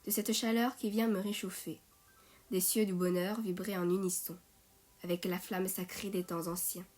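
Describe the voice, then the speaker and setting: Woman reading a poem line by line, measured delivery, some music under it. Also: mid-range voice, level tone, a little mellow. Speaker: poet reading own poem. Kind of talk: poetry recitation.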